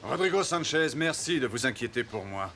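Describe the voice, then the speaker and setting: A man playing an earnest, devout and commanding admiral explorer in an animated historical cartoon. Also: mid-range voice, moderate pace, a little hiss or hum. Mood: weary, longing.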